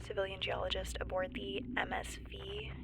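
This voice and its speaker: A woman playing a nervous, wry stranded geologist in a sci-fi audio drama, halting and breathy, often near a whisper. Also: mid-range voice, monotone, lightly acted, low-quality recording. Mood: nervous, tentative. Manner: halting, awkward, wryly understated. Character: stranded woman, nervous civilian geologist keeping an emergency log. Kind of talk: found-footage sci-fi audio drama monologue.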